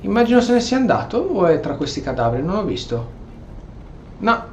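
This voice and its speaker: A man with an Italian accent giving casual, halting game commentary, often repeating words as he goes. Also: high voice, sing-song, a little mellow. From Italy. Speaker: gameplay streamer. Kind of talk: casual role-play game commentary in Italian with stray English. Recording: echoey room, faint background noise.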